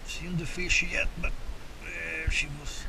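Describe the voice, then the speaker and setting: A man filming himself fly fishing from a kayak on a lake, giving excited running commentary with a Swedish accent. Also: on the high side, slow pace, creaky. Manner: breathless, excited exclamations while fighting fish. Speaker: angler filming his own trip. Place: kayak on an open lake outdoors. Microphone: head-mounted action camera. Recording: wind and water noise on camera mic.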